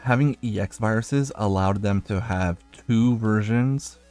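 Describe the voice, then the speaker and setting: A man's voice: lowish voice, measured pace, a little mellow, clear, resonant tone, soft background music. A man giving casual video game commentary as a streamer, rambling and thinking aloud as he plays.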